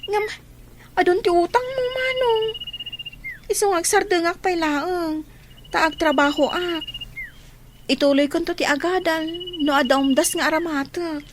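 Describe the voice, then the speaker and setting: A woman's voice: very high voice, steady tone, a little slow, long pauses. A woman with a Filipino accent playing a devoted, earnest younger sister in a radio drama. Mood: pleading, earnest. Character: devoted younger sister, a student who becomes a worker, earnest. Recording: noisy ambience, birdsong behind the voice.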